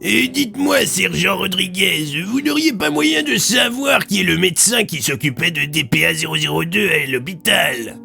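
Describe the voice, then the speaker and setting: An actor playing a scheming, falsely courteous army colonel in an audio drama, coaxing and insinuating. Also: male voice, sits high, expressive, normal speed, vocal fry, hardly any pauses, bright, forceful tone, faint music behind, full character voice. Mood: probing. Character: scheming, ambitious male army colonel, slyly coercive. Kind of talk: French audio fiction acting.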